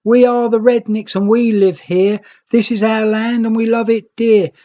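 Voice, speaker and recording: A narrator putting on a high, scolding storybook creature. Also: level tone, normal speed, dark tone, highs cut off, natural voice. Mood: outraged. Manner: scolding, emphatic, indignant. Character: grumpy, stubborn, hostile crowd of storybook island creatures.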